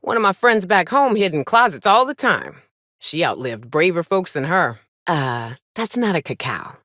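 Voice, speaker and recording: A woman voicing a gruff, tough but caring frontier monster hunter in a video game, with wry, folksy, blunt delivery. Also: lowish voice, big pitch swings, normal speed, a bit bright, no echo, studio quality. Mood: wry, sardonic. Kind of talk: video game character dialogue.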